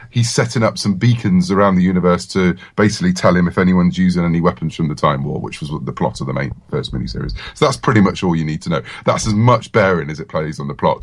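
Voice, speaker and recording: A man with a low-pitched voice talks in a casual, enthusiastic way. He is an interview guest, chatting freely in a very dry recording. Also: breathy edge, no room sound. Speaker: interview guest, comic writer.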